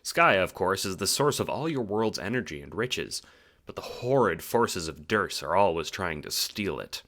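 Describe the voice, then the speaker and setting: Animated, low-voiced male narrator. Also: moderate pace. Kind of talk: scripted second-person audiobook narration.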